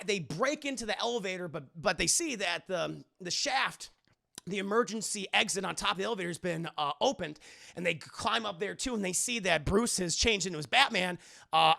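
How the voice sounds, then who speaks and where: Excitable guy streamer doing a sweary show recap, bright sharp voice, tons of fry. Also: sits high. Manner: excitable, profane, acts out characters and sound effects. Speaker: streamer and animation reviewer. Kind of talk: lively scene-by-scene recap for a live chat.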